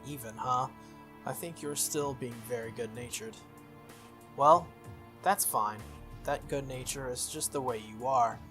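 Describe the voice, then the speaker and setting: A man reading the lines of a sharp, proud teenage schoolgirl from a visual novel. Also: mid-range voice, unhurried, long pauses. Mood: grudging, probing. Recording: music behind the voice.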